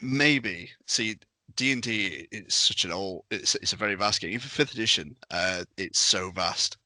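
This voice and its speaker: A man's voice: on the low side, normal speed, bright, forceful tone, no echo. A man chatting casually as a panelist in a conversation about tabletop games. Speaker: podcast panelist.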